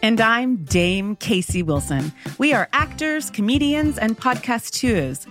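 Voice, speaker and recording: A woman's voice: fairly high pitch, lively intonation, a little slow, faint music behind. A woman comedian reading a comic promo in a mock-grand manner. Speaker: comedian, podcaster.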